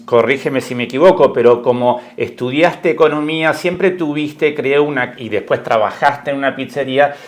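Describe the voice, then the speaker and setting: A man hosting an interview podcast and asking questions in a polite, welcoming way. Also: medium pitch. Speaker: podcast host and documentary director.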